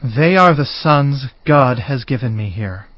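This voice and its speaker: A man playing an earnest, dutiful governor and son in a dramatized audio Bible, measured and declarative. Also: medium pitch, lively intonation, no echo, lo-fi sound, lightly acted. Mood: respectful.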